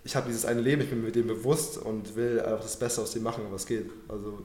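Fast-talking young man, earnest, roomy sound. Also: on the low side, fairly even intonation, darkish tone. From Germany. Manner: earnest, reflective, loose slangy phrasing with many fillers. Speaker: guest. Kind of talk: casual personal interview.

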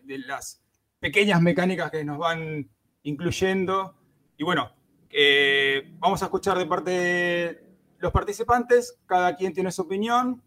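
A man's voice: on the high side, fairly bright tone. A man hosting a gaming podcast, talking casually with lots of fillers and restarts. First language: Spanish. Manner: hesitant, many fillers and restarts. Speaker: podcast host.

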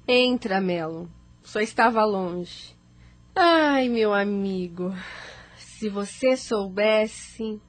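A young woman playing an anxious, troubled daughter in a radio drama, with plaintive, expressive delivery. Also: mid-range voice, drawn-out words, no room sound. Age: young adult.

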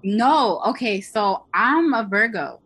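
A woman's voice: medium pitch, expressive, slow, fairly bright tone, husky. A woman in her twenties, from Pennsylvania, chatting on a podcast as co-host in a bubbly, talkative way. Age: 20s. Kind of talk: casual podcast chat.

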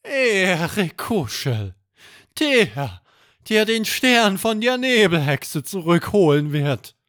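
Talking horse for kids story, high voice, super excitable and dramatic, drags words out. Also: male voice, slow pace, no echo, clean studio sound, heavily acted. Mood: reverent. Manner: exclamatory, urgent, dramatic. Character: excitable, reverent talking horse, humble straw gatherer. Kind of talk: children's bedtime story character acting.

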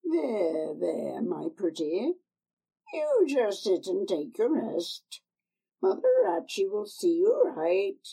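A woman voicing a cackling, wheedling old crone who coaxes and warns in a harsh, shrill voice. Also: sing-song, slow pace, vocal fry, warm tone, slightly rough, full character voice, low-quality recording. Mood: coaxing. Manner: coaxing, harsh, screeching.

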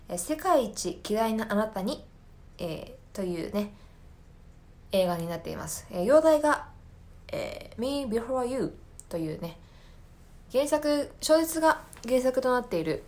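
A woman's voice: on the low side, a little mellow. Thoughtful woman hosting a casual solo podcast. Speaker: podcast host. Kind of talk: casual solo recommendation talk.

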